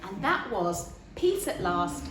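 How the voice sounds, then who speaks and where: A woman reading a children's storybook aloud in a deliberate, expressive storytelling manner. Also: mid-range voice, noisy background. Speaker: story reader. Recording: echoey room.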